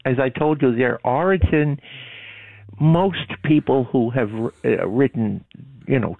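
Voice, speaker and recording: A man with a gravelly voice, heard over a tinny phone line. He explains things as a guest in an informative, steady way. The line sounds dry and thin. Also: mid-range voice, measured pace, no echo. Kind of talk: casual conversation.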